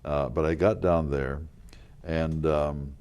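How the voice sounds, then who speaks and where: An older man, a local historical reenactor, telling rambling stories in a casual interview, with a loud voice and many fillers and restarts. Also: very deep voice, steady tone, takes it slowly, clean tone. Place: community TV studio.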